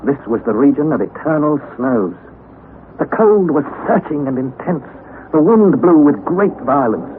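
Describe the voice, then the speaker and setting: Young man, first-person narration, lively intonation, tinny hissy old radio sound. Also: fairly high pitch. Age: young adult. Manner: measured, listing carefully.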